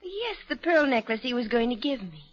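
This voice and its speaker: A woman's voice: on the high side, sing-song, moderate pace, muffled recording. An actress playing a distressed, determined young woman in an old-time radio drama, earnest and insistent as she pleads. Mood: urgent, hopeful. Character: distressed, determined young woman defending her accused father.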